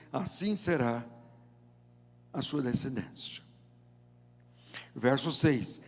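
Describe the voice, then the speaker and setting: Male pastor, 70s, Brazilian accent. Fairly high voice, measured emphatic sermon delivery, long auditorium reverb. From João Pessoa, Paraíba, northeast Brazil. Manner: deliberate, emphatic phrasing with rhetorical questions and pauses. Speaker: pastor, preacher. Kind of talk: sermon with scripture reading and prayer. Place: large indoor church auditorium.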